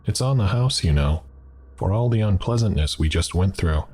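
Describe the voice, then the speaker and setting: A man's voice: low voice, lively intonation, normal speed, darkish tone, a little hiss or hum, voice pushed up. A man voicing a friendly, chatty diner waitress with a delicate Southern accent who calls customers hon and sweetie. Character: friendly middle-aged female diner waitress.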